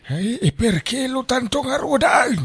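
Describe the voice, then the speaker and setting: Casting: performer doing folksy older Ilocano haggler for radio drama, raspy and unhurried, gruff temper. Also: high-pitched, animated, heavily acted. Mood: gruff haggling.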